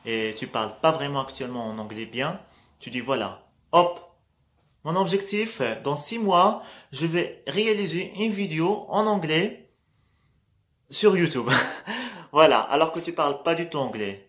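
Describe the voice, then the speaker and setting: Un jeune homme à l'marocain, dont l'arabe est la langue maternelle, qui parle en vlog motivant et décontracté, hésite souvent et répète « voilà ». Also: male voice, on the high side, lively intonation, measured pace, a bit bright. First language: Arabic. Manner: hesitant, restarts often, says "voilà" a lot, laughs between points. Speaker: vlogger.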